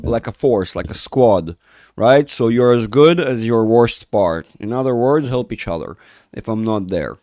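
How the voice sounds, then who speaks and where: A man hosting a live market chat with viewers, rambling and restarting sentences, his voice rough from a cold. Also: mid-range voice, expressive, a little slow, darkish tone, no room sound, studio quality. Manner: rambling, self-interrupting, reads chat aloud. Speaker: market analysis livestream host. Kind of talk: casual livestream chat with viewers.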